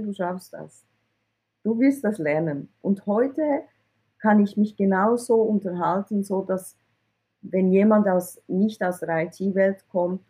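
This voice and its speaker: A woman's voice: medium pitch, dark tone, breathy edge, poor audio. A woman, from Iran, answering interview questions in a candid way and often closing a thought with a questioning tag. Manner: candid, repeats words when searching, ends points with "oder?". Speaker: IT recruitment consultant.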